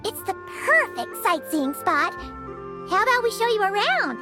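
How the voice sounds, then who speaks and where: A tiny, bubbly fairy companion from a video game. The childlike voice is extremely high and swings wildly up and down in a chirpy way, over loud music. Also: female voice, very animated, moderate pace. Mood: chirpy, eager. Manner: chirpy, refers to self in third person. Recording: music behind the voice.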